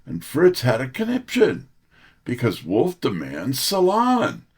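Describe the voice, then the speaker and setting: Author guy in an interview, talks slow but really animated, kinda polished and scripted. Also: mid-range voice, breathy edge.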